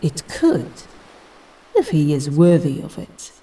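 Audio drama warrior guardian, played by a woman: female, immortal, stern, commanding, deep-voiced, slightly off-mic. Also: big pitch swings, slow, warm tone, far from the mic, heavily acted. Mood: solemn. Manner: solemn, commanding.

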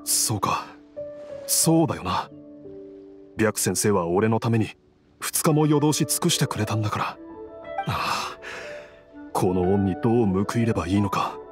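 A man's voice: lowish voice, expressive, a little slow, long pauses. Humble ailing father, swooping intonation. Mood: grateful, apologetic. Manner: hesitant, humble, casual. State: weakened, recovering from illness. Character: grateful, humble ailing father of a grown-up household. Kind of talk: anime game dialogue. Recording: music behind the voice.

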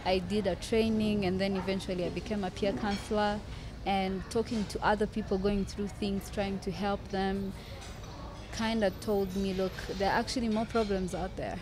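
A woman in her twenties from Rwanda speaks as a podcast guest at a medium pitch. She tells her story conversationally and emphatically, repeating phrases to drive points home. She is close to the microphone. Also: steady tone, normal speed, noisy background. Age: 20s. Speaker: podcast guest, law student. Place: open-air covered café terrace. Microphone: close podcast microphone on table stand.

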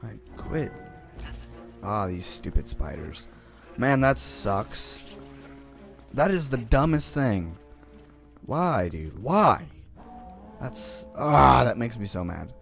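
A young man with a raspy morning voice giving casual let's-play commentary as he plays, often groaning in frustration at the game. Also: medium pitch, very animated, takes it slowly, long pauses, fairly bright tone, soft background music. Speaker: gaming channel host.

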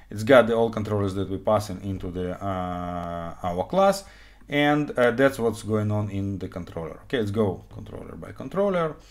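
A man, an English speaker explaining code informally and haltingly as an instructor in a live tutorial. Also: fairly low pitch. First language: not English; also speaks Hebrew, Russian and Ukrainian. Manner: halting, frequent okay check-ins, non-native phrasing. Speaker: programming instructor. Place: small indoor room, webcam screen recording.

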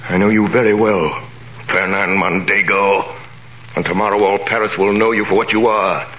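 An actor playing a vengeful nobleman in a 1930s radio drama, with theatrical, commanding delivery that swings from a cold hush to thundering denunciation. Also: on the low side, fairly even intonation, moderate pace, a little hiss or hum. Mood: accusing. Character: vengeful nobleman, a wronged man returned in triumph. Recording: old radio recording in a roomy space.

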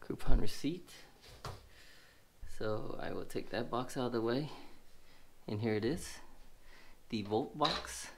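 A man's voice: mid-range voice, slow, pauses often, noisy background. A man with a stuffy, sniffly voice casually narrating a gadget unboxing at a table, rambling with many fillers. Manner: rambling, many fillers, thinking aloud while handling the box. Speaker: tech reviewer. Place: indoors at a table.

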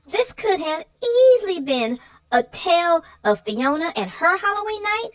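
A woman's voice: extremely high pitch, very animated, unhurried. A woman co-host chatting casually as she comments on a children's book.

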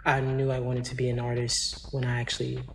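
A man's voice: mid-range voice, monotone, a little fast, gravelly, fairly bright tone. Casual young man from Chicago. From Chicago, Illinois. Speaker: dancer. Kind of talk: casual interview talk.

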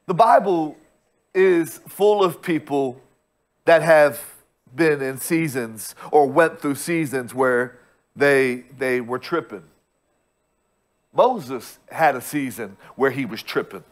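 A man's voice: mid-range voice, takes it slowly. A man in his thirties preaching a sermon as pastor from a church stage, repeating his points with emphasis and frequent restarts. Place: church stage with bright screen backdrop.

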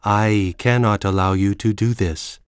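An implacable video game AI acted by a man speaks in a low voice. Every phrase is measured and formal, delivered slowly and calmly in a dry, close recording. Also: smooth, no room sound, close to an everyday voice. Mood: pleading, failing. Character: calm, implacable male computer AI guarding a facility.

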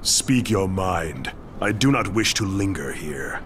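An actor playing a vengeful ninja clan leader in a video game, terse, formal and cold. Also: male voice, low voice, animated, slow pace, a little hiss or hum, exaggerated voice. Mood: bitter, accusing. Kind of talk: cinematic video game acting. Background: loud cinematic game music.

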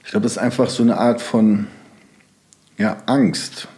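Rambling, low-voiced man. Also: fairly even intonation, measured pace, dark tone. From Germany (Sauerland). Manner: loose, rambling sentences, plenty of fillers. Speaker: podcast guest. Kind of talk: casual interview talk.

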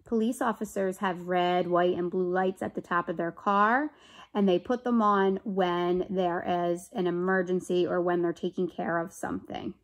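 A woman in her thirties with an American accent reads a children's book aloud expressively, pausing to explain things simply. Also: lowish voice, steady tone. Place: small room at home.

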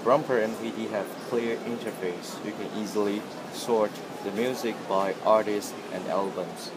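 A man's voice: lowish voice, steady tone, moderate pace. A man narrating a product demonstration as its presenter. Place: indoor trade show demo room. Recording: noisy room, air-conditioning hum.

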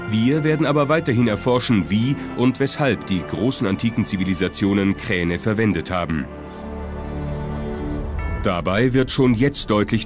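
A man reads scripted documentary narration. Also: fairly low pitch. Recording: music behind the voice. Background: music.

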